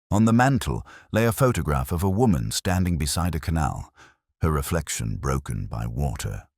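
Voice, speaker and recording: A male actor plays a brooding male inspector with an extremely low voice and lively, shifting intonation. He narrates a detective drama in measured, literary phrases, reflective and melancholy, recorded very dry and close. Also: dry recording, full character voice. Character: brooding, intellectual older male Oxford police inspector. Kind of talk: scripted first-person detective drama narration.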